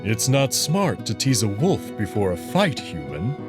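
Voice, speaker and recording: Confident male alien wolf, acted by a man, teasing yet protective, formal edge, very expressive pitch, visual novel with clear backing music. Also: measured pace. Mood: teasing, defensive. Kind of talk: visual novel character acting. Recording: music behind the voice.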